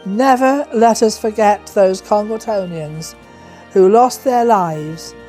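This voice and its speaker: A woman narrates a commemorative documentary from a script. Her voice is soft-edged and mellow, sitting at a middling pitch, with light music underneath. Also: takes it slowly.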